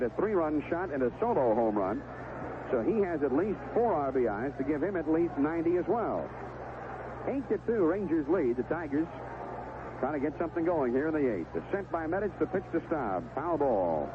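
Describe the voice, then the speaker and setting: Animated American baseball announcer, tinny old radio. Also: male voice, on the high side, normal speed. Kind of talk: live radio sports commentary. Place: stadium broadcast position. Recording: noisy, tinny 1970s AM radio broadcast.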